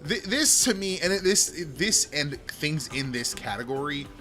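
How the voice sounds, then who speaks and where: A man hosting a tech show in casual, rambling commentary with a loud speaking voice, often repeating and restarting his phrases, close to a microphone. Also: fairly high pitch, expressive, unhurried, music in the background. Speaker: tech video host. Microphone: microphone close in front.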